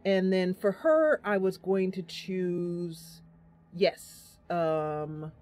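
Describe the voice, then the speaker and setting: A woman's voice: fairly low pitch, extremely slow, a little mellow, a little hiss or hum. A woman hosting a game stream, giving casual live commentary and thinking aloud through her choices as she chats to viewers. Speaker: game streamer, host of the channel.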